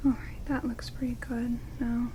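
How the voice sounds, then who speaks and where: A woman voicing a haughty but friendly female vampire in a soft, breathy ASMR whisper, slow and drily teasing, close to binaural microphones with rain hiss behind. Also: fairly high pitch, level tone, natural voice, rough recording. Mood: dry, fussing.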